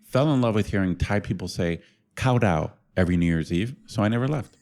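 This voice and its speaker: A man co-hosting a podcast, easygoing in manner, with a very deep voice. He reads the show's announcements in a smooth, conversational way. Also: animated, brisk, a little mellow. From United States. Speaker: podcast co-host. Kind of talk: scripted ad read and casual chat.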